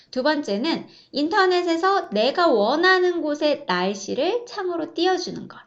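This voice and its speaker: A woman instructor narrating an e-learning course, explaining step by step in a polite way, on a slightly dull recording. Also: high-pitched.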